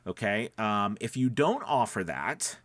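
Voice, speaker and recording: A man's voice: on the low side, very animated, measured pace, a bit bright, no echo. A man talking casually as a voice-over coach, explaining things step by step in a conversational, emphatic way and often checking in with listeners.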